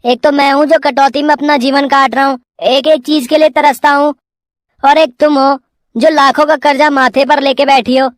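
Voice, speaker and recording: A woman's voice: high-pitched, steady tone, normal speed, no room sound, clean studio sound, natural voice. A woman voicing a spoiled, selfish teenage son who is petulant, whiny and demanding. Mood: angry, blaming. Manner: petulant, complaining.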